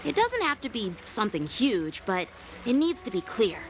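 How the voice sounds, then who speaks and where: A woman playing a polite, earnest teenage boy swordmaster in video game voice acting, speaking in a formal, measured way. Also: on the high side, wildly swooping pitch, noisy background. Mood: earnest, instructive. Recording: game music behind the voice. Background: game background music.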